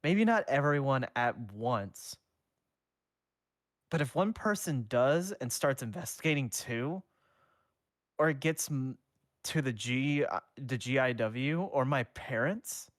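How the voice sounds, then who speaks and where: A man's voice: medium pitch, expressive, slow, no room sound, voice pushed up. A jittery teenage half-ghost boy frets and protests in a raised voice, as a man puts him on. He sounds exasperated and incredulous, words tumbling out in a storybook reading. The delivery is dry and close. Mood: worried. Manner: fretful, rising and exasperated.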